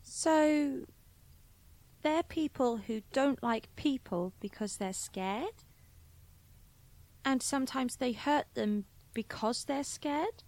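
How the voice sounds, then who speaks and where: Woman playing a high-voiced, curious little schoolgirl. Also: expressive, takes it slowly, a little mellow, no echo, full character voice. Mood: thoughtful, earnest. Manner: inquisitive, naive, childlike phrasing. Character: curious, earnest young schoolgirl questioning her mother at bedtime. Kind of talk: fantasy audio drama dialogue.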